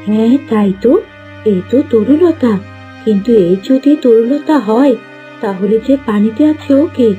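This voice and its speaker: A protective cartoon mother bird, voiced by a woman, with a very soft, dark tone set fairly high. She speaks earnestly and dramatically, like a wronged soul in a fairy tale. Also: lo-fi sound, natural voice. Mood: shocked, determined.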